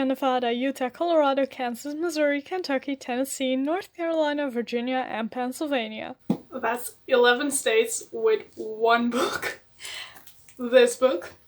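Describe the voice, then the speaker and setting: A young woman from the Netherlands, chatting enthusiastically in a casual book vlog and laughing often. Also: high-pitched. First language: Dutch. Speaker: book vlogger.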